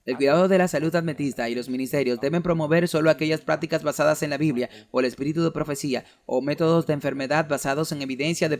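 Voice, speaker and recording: An earnest man with a voice a little above the usual male range builds an argumentative case point by point. He delivers it as a sermon-style lecture and stops now and then to read quoted documents aloud. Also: fairly high pitch. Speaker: preacher giving a talk.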